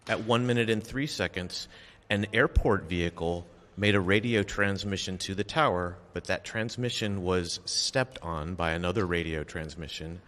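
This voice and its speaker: A man reading a formal prepared statement as an official at a briefing, measured, factual and deliberate. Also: low-pitched, a bit bright. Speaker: official giving an investigation briefing. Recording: long reverberant echo of a hall.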